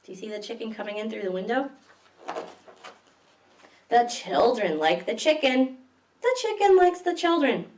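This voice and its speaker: A woman's voice: on the high side, animated, normal speed, long pauses, darkish tone. A woman reading picture books aloud to young children as a library story time host, expressively, with questions to her listeners. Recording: echoey room, distant microphone.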